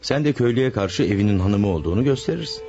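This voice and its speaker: An actor playing a farmer husband who hides a murder and calmly soothes his new wife in a radio play. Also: lowish voice, rapid-fire, over music. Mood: soothing. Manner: soothing, reassuring, smooth-talking. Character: scheming farmer husband, secretly a killer. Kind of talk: classic radio drama acting.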